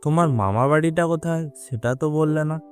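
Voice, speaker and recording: A man's voice: fairly high pitch, a little fast, soft background music, natural voice. A man playing an eager, chirpy young village lad who pesters for stories in an audio drama.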